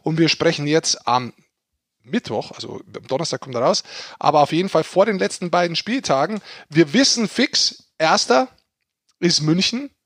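A man's voice: fairly high pitch, lively intonation, moderate pace, a bit bright, no room sound, studio quality. A man co-hosting a sports podcast, chatting casually in a teasing, tongue-in-cheek way. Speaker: podcast co-host, ice hockey pundit.

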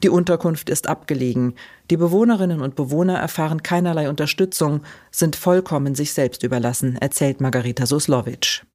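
A woman hosting a short documentary format, reading scripted narration in an even, measured way. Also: sits low. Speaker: host, narrator.

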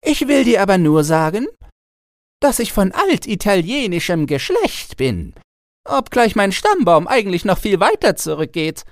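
Little storybook imp, very high-pitched thin voice, grinning, sly, condescending, courtly, uncanny. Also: male voice, very animated, normal speed, no room sound, clean studio sound. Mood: smug, ingratiating. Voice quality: small, thin voice. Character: tiny grinning uncanny demonic toy manikin, courtly and smug.

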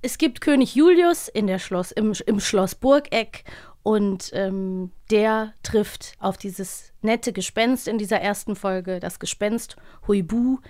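A woman's voice: medium pitch, slow, darkish tone, dry recording, studio quality. A woman with a German accent co-hosting a podcast about audio dramas in an informal, chatty way. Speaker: podcast host. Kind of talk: casual co-hosted chat about children's audio dramas.

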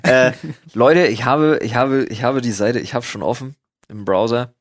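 A man's voice: medium pitch, unhurried, no room sound, clean studio sound. A man chatting casually as a podcast host, telling stories in a slangy, sweary, mock-exasperated way. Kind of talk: casual chat, storytelling.

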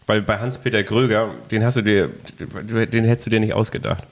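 A man's voice: on the low side, steady tone, brisk. A man co-hosting a casual football podcast, playfully reading out quiz clues and joking with his partner. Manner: playful, reads quiz clues in first person with puns. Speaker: podcast co-host.

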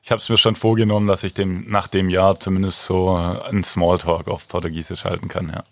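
A man with a very low, level voice speaks in an easygoing, halting way, filling gaps with small hesitations. The sound is close and dry, with no room around it. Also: gravelly, no room sound. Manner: relaxed, hesitant, many fillers. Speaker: handball player, guest. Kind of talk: casual interview answers.

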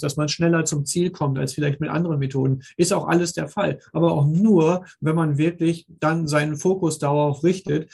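A man with a very even, gravelly delivery and a muted, soft tone hosts a conversational interview. He speaks as a mentor, affirming and complimentary toward his guest. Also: on the high side. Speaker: host, orthodontics mentor interviewing a colleague.

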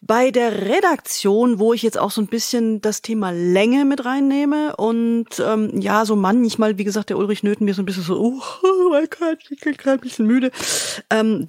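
A woman's voice: mid-range voice, moderate pace, a little mellow, dry recording, studio quality. A middle-aged woman co-hosting a podcast in casual discussion as a critic.

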